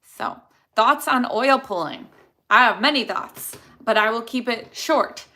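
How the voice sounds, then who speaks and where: Bright-voiced chatty woman with a Chicago accent. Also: on the high side, unhurried. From Chicago area. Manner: chatty, rambling, answers questions from chat. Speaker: dental hygienist, host. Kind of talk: livestream Q&A, answering chat.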